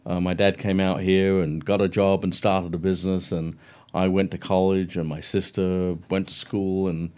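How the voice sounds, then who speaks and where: A middle-aged man with a London English accent, a guest chatting casually and warmly about his career in an interview. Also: deep voice, flat delivery, normal speed, clean tone. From England. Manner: friendly, chatty, brief laughs.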